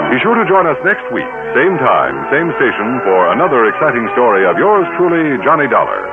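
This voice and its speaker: An American man announces in old-time radio style, with a highly animated, swooping delivery. Loud music plays behind him, and the recording is thin and tinny. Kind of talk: 1960s radio announcing, scripted.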